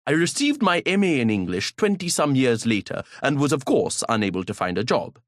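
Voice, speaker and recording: A man reads an audiobook in the first person with a pompous, grandiloquent air. His voice sits at an ordinary pitch but swings freely up and down, and now and then he trips into a stutter. The recording is close and dry. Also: big pitch swings, a little fast, no room sound. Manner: occasional stutter. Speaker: audiobook narrator.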